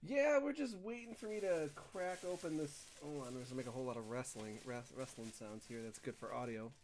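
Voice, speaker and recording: Male gaming co-host, mid-pitched, loose casual banter, faint noise and clicks behind him. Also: a little fast, darkish tone. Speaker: co-host of a gaming radio show.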